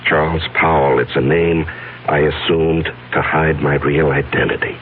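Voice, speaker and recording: An actor playing an anguished fugitive confessing to his fiancée in a 1970s radio drama, halting and earnest with heavy pauses. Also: a bass voice, steady tone. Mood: grave, confessing. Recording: narrow-band vintage radio broadcast, faint hiss.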